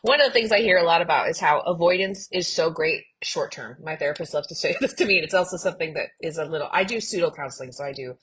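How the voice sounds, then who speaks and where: A woman's voice: low voice, lively intonation, fast, few pauses, fairly bright tone, husky. A woman co-hosting a podcast in a casual, earnest and emphatic way, sprinkling her talk with "like". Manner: earnest, emphatic, uses "like" often. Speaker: podcast co-host. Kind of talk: casual fan discussion.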